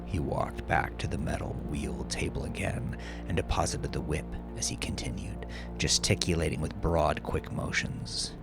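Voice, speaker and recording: A man narrating a dark audiobook story in a grave, suspenseful, deliberate manner. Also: rumbling low, fairly even intonation, vocal fry. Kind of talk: dramatic scripted audiobook narration. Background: music under the voice.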